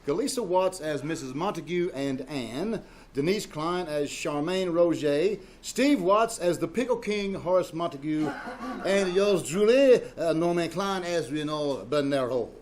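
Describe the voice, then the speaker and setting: Male announcer, high voice, scripted old-time radio style, faint noise on a live stage recording. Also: expressive, a little slow, a little mellow.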